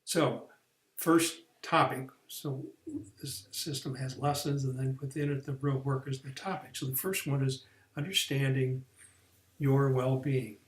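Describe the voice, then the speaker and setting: A man's voice: mid-range voice, steady tone, unhurried, roomy sound. A man explaining a career course in a plain, step-by-step way, with some restarts and fillers. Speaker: career coach. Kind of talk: recorded online training lesson.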